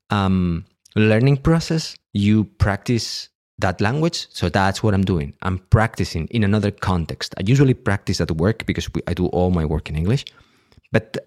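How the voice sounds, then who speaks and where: A middle-aged man with a Catalan accent, speaking English as a second language in a halting solo monologue with many restarts and fillers. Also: lowish voice, a little mellow. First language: Catalan and Spanish. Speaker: podcast host.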